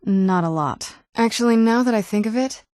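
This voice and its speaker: A woman's voice: on the high side, moderate pace, darkish tone, no echo, clean studio sound, natural voice. A young woman playing an ancient but youthful vampire noblewoman in a video game, speaking in a wry, understated, conversational way. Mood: wry, composed. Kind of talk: video game character dialogue.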